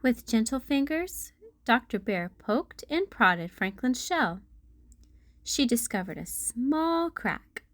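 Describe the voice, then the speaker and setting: A woman narrating a children's storybook as a read-aloud host, in a deliberate storytelling manner. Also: a little high, big pitch swings, no echo.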